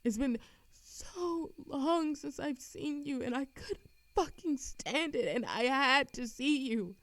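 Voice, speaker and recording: A woman playing a lovesick, defensive immortal in an audio roleplay, speaking in a halting, emotional way to the listener. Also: high voice, expressive, a bit bright, dry recording, heavily acted. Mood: desperate, tearful. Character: lovesick immortal woman, defensive, reckless, confessing to a Grim Reaper. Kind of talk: audio roleplay monologue, acted intimately to the listener.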